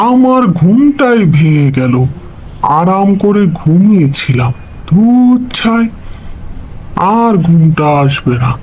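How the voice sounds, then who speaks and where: Man voicing a high-voiced, easygoing cartoon crow. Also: darkish tone, slight background noise, low-quality recording. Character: easygoing newcomer male crow who likes his rest. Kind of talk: children's animal cartoon dubbing.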